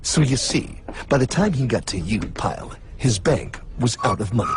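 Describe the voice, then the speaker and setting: A man's voice: medium pitch, lively intonation, normal speed, noisy background, close to an everyday voice. A man playing a smug, mysterious cartoon narrator who lectures in the pompous, theatrical manner of an eerie TV anthology host. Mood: smug explaining. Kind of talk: parody of scripted TV anthology narration. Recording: music behind the voice. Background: music.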